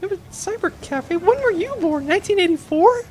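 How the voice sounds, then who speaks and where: A woman's voice: very high voice, expressive, brisk, darkish tone, slight background noise. A woman playing a pert, blunt, teasing crew member on a pirate spaceship in a comedy audio drama.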